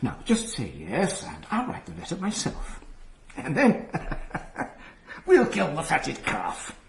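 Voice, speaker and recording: An actor playing an older aristocratic prince in a radio drama, patronising and wheedling, with fussy coaxing manners. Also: male voice, fairly high pitch, huge pitch swings, takes it slowly, vocal fry, heavily acted. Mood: persuasive. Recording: roomy sound with faint noise.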